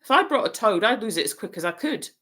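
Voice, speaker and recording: A woman's voice: medium pitch, expressive, fast, a bit bright, slightly rough, voice pushed up. A woman voicing a blunt, cheeky young schoolboy who talks offhandedly. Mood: offhand.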